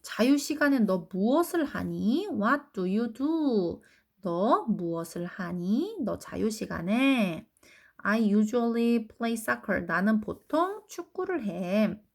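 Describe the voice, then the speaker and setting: A woman teaching English grammar to children, explaining each answer patiently and reading English sentences slowly before translating them. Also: on the high side, big pitch swings, creaky. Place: academy lecture recording.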